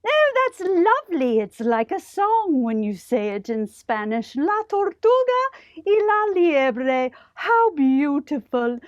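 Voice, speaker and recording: Lady dragon puppet, female performer: high, lilting, slow, sweetly gushing and genteel, for children's puppet show. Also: sing-song, dry recording, lo-fi sound, heavily acted. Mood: sweetly admiring. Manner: gushing, sing-song, drawn-out.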